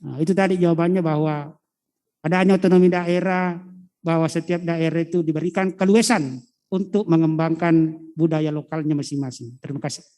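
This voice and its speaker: A middle-aged man, a history teacher lecturing students in a fatherly way and pausing on fillers as he thinks. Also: a little high, fairly even intonation, mellow. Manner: fatherly, calls listeners child, hesitant fillers. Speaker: history teacher, guest speaker.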